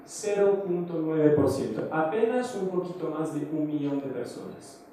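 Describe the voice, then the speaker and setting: A man with a mellow, dark voice gives a speech at a conference opening, hesitant and full of fillers and restarts. He is heard from a distance in a very echoey hall, with a faint hiss underneath. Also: a little high, animated, normal speed. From foreign, living in Mexico. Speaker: theatre maker and invited speaker.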